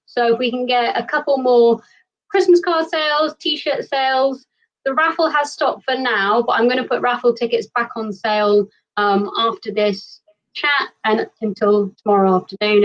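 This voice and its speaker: High-voiced British woman hosting, slightly dull audio. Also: fairly even intonation, normal speed. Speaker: host of an online fan convention. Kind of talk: casual livestream chat. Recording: dull, lo-fi online call audio.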